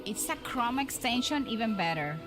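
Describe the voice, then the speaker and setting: A woman's voice: on the high side, animated, normal speed. A woman streamer with a Dominican accent chatting casually with viewers, rambling with frequent restarts and repeated words. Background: music.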